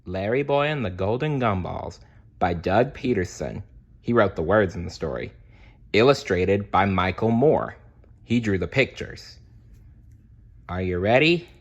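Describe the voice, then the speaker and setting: A man's voice: lowish voice, lively intonation, measured pace, pauses often, dull audio. A man narrating a children's storybook aloud in a dramatic way, with little asides to young listeners. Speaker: storybook reader.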